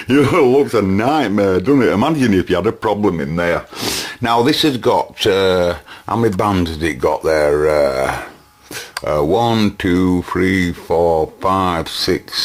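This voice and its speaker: A middle-aged Northern English man with a low voice talks through a piece of technical work in an informal way. He rambles in a chatty fashion, often putting questions to himself and answering them as he goes. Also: fairly bright tone, slightly rough. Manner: chatty, rambling, self-questioning, chuckling asides. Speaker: vintage radio repair hobbyist and presenter. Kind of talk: informal hands-on technical walkthrough.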